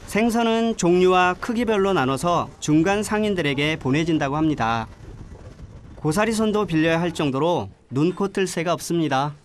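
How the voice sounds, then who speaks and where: A man giving calm, measured scripted voice-over narration for a travel documentary. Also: fairly high pitch, slight background noise. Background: background music.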